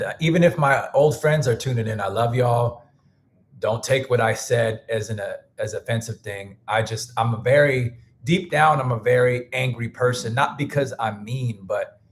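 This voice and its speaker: A man of middling pitch talks casually to his livestream viewers, rambling and restarting his sentences. His voice sounds a little worn and hoarse. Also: a little hiss or hum. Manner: loose, rambling, thanks viewers, many restarts. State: losing his voice. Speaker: music producer and livestream host.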